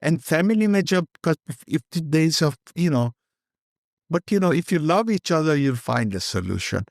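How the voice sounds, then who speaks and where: A man's voice: on the high side, lively intonation, a little fast, gravelly, no echo, studio quality. An older man with an Indian accent, a guest speaking candidly and rambling in an interview, slipping Hindi phrases into his English. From Kenya.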